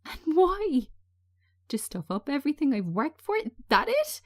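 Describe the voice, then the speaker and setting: An anguished, bitter woman, acted by a female reader in an audio drama. Her voice rises high and swings about as she pleads and accuses, distraught and wounded. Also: big pitch swings, unhurried. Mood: anguished, accusing. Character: traumatised woman, distraught, bitter, drinking alone. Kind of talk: dramatic screenplay reading.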